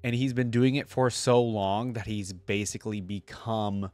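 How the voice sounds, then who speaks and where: A young man with a fairly low voice talks casually on a close, dry microphone. He hosts like a reaction streamer, moving between reading text aloud and thinking out loud in a conversational way. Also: steady tone, normal speed, no room sound. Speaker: reaction video host. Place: indoor gaming room. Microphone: close desk microphone.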